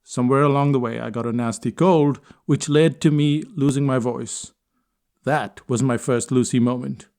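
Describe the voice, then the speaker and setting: Male speaker, mid-pitched, measured storytelling delivery, reflective spoken-essay tone. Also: fairly even intonation, darkish tone. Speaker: speaker and consultant.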